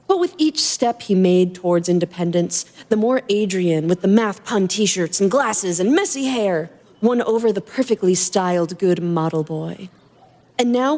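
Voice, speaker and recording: A woman reading fan fiction aloud as a podcast host, in an expressive storytelling style. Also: mid-range voice, long reverb tail.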